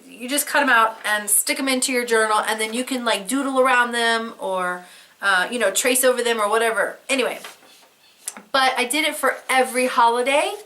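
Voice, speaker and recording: Bright-voiced woman with a head cold. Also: high-pitched. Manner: chatty and rambling, with fillers and restarts; candid, with casual swearing. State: head cold, losing her voice. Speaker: host. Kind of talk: casual show-and-tell craft vlog.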